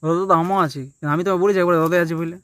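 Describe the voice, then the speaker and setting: High-pitched, fast-talking man from rural western Bengal. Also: fairly even intonation, crowd noise. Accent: rural western Bengal (Purulia). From West Bengal, India. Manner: insistent, melodramatic. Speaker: prank caller. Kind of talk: casual prank phone call, pleading and arguing.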